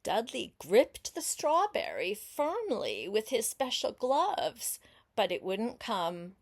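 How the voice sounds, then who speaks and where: Older woman, grandmotherly storybook reader, very slow pace, lively pitch swings, chatty asides to a young listener. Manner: unhurried, expressive, explains words and asks questions. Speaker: grandmother reading a picture book aloud to a child.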